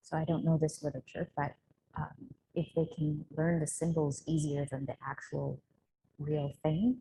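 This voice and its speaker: A woman speaker answering questions in an unscripted academic discussion, reasoning aloud in a measured way. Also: low voice, fairly even intonation, vocal fry, warm tone, low-quality recording. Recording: faint background noise.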